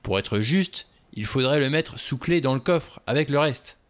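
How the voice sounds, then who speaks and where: A man's voice: medium pitch, lively intonation, measured pace, voice pushed up, lightly acted. A man reading an audiobook, voicing a stubborn, suspicious middle-aged man who talks bluntly. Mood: gruff.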